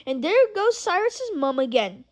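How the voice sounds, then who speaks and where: A cheeky, teasing teenage boy with a wry edge, as a woman reads him. His voice sits a bit lower than the reader's own and moves lively up and down. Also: unhurried. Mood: knowing.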